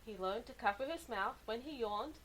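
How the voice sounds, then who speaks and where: A woman reading a children's story aloud with animated, deliberate, dramatic expression. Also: a little high, a little hiss or hum, poor audio. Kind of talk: expressive picture-book narration for kids.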